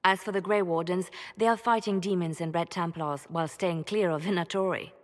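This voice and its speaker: A woman's voice: lowish voice, steady tone, a little fast, close to an everyday voice. Actress playing devout reserved spymaster lady, careful formal talk, game cutscene, echoing hall. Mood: grave, businesslike. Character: reserved woman spymaster, devout, composed and watchful. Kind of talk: dramatic game cutscene dialogue.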